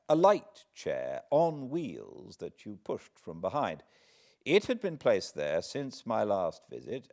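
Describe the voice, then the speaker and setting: British man, literary narration, deep voice, widely swooping intonation, measured dramatic delivery, deliberate pauses. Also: darkish tone. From Britain. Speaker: audiobook narrator, host of fireside readings. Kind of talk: expressive literary storybook reading.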